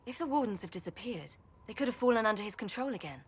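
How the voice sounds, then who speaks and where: An actress playing a weary, modest champion in a fantasy video game, measured and reflective. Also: female voice, a little high, animated, husky. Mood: grave, informative. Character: weary, modest female champion, sober and helpful. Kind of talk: video game dialogue acting.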